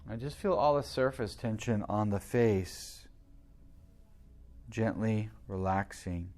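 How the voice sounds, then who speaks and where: A man leading a guided meditation as a teacher, speaking in a soothing, unhurried way with long pauses. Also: fairly low pitch, a little mellow.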